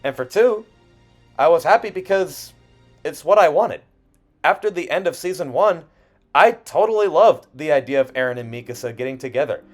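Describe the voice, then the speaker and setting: Higher-voiced man narrating a video essay over music. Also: animated, moderate pace. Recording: music under the voice. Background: background music.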